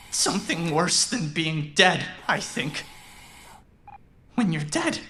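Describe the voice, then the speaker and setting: A possessive, bodiless mind in a cyberpunk radio drama. She broods and taunts at an unhurried pace, her pitch moving widely. Some noise sits behind the voice. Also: a bit bright. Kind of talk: cyberpunk audio drama acting.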